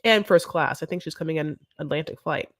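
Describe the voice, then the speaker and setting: A woman's voice: deep voice, a little fast, no room sound. A woman hosting a casual live recap chat, rambling and campy with lots of asides. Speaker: show recap host.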